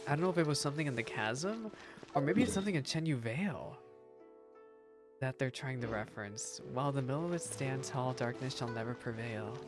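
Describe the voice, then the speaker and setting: A man's voice: mid-range voice, brisk, over music. A man giving casual, reactive playthrough commentary, sometimes reading game text aloud and repeating himself for emphasis. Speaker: gaming reaction streamer.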